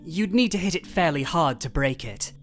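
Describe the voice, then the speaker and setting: A man's voice: on the high side, expressive, moderate pace, soft background music, natural voice. A man playing a stubborn young pony glassblower, earnest and defensive, in an audio story. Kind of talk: audio story character dialogue.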